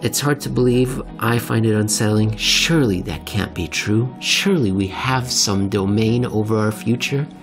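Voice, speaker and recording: A man hosting a podcast, reading dramatically and commenting conversationally, with deliberate, theatrical phrasing and pauses for effect. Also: fairly low pitch, over music. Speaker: podcast host and reader.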